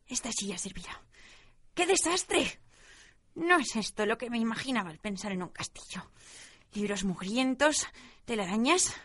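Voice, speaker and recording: Woman acting a feisty, bright-voiced old woman. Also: a little high, husky, heavily acted. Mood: grumbling. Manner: exclamatory, blunt. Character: feisty, curious old woman cursed into old age. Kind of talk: amateur radio play acting.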